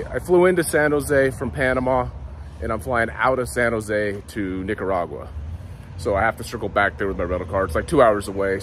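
A man's voice: mid-range voice, fairly bright tone, slight background noise. A man around his forties talking casually and informally as a laid-back travel vlogger. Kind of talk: casual travel vlog narration. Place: outdoors on town streets and beach. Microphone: phone at arm's length.

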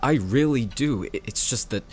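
A man playing a remorseful young secret agent and devoted best friend in an emotional audio roleplay, earnest and halting. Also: mid-range voice, animated, faint music behind, natural voice. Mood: earnest, regretful.

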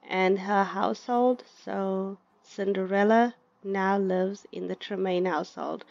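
A woman gives casual, chatty gameplay commentary and talks to her characters as she plays. She speaks slowly, and her voice has a gravelly edge. The sound is dry and slightly dull, like a lo-fi recording. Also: mid-range voice, no echo. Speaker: gaming video creator.

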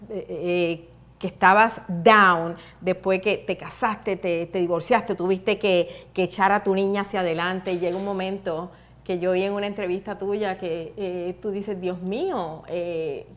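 A woman hosts a relaxed sit-down interview and asks her guest about her life. Her voice sits at a middle pitch, and she keeps the talk going with short encouraging responses. She is on a lapel mic in a bright living room. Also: unhurried. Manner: warm and encouraging, with back-channel responses. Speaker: talk show host. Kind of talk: casual interview. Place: bright living room with a sofa and water view.

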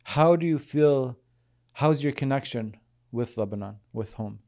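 Calm young man hosting podcast. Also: mid-range voice, a little slow. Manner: calm, asks open questions, short affirmations. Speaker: podcast host. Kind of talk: casual interview hosting. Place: small podcast studio indoors. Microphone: boom-arm studio mic.

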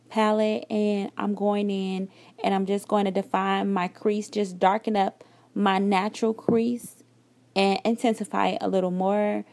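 A woman in her thirties talks through her beauty routine step by step in an easygoing, conversational way. Her voice sits at a middle pitch. The voiceover is recorded close and dry, with no room sound. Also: no room sound. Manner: relaxed, chatty step-by-step explaining. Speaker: beauty vlogger. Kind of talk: makeup tutorial voiceover. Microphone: voiceover recorded separately.

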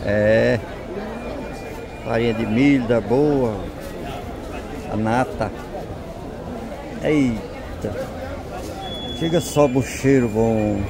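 A man with a Northeastern Brazilian accent walking through an open-air market, slowly pointing out and savoring the food on sale. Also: mid-range voice, gravelly. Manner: slow, savoring, points out goods. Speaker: vlogger. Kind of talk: walking market tour commentary. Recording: loud crowd noise outdoors. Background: crowd chatter and vehicles.

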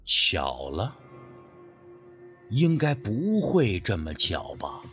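A man's voice: fairly low pitch, huge pitch swings, extremely slow, fairly bright tone, faint music behind, voice pushed down, exaggerated voice. A man playing a stubborn, suspicious young man in an audio story, brooding and scolding. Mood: uneasy.

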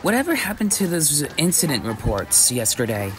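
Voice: medium pitch, huge pitch swings, normal speed. A performer with a woman-like voice acting out an easygoing, dutiful werewolf police chief in scripted ASMR roleplay.

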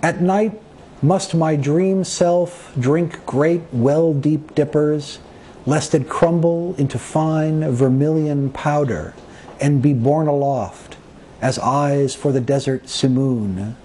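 A man reading his own poems as a poet, with measured, deliberate phrasing and pauses between short phrases. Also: fairly high pitch, mellow, slight background noise. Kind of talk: poetry reading of own work.

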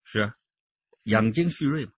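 Raspy, self-assured detective chief. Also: male voice, lowish voice, expressive, normal speed, no echo. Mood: confident, teasing. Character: confident, shrewd police detective chief, wryly good-humoured. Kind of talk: Chinese radio drama acting. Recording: dull radio-drama recording.

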